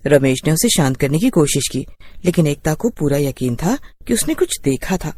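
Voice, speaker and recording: A woman's voice: low-pitched, very animated, moderate pace, a crowd in the background. A woman reading scripted horror story narration as a storyteller.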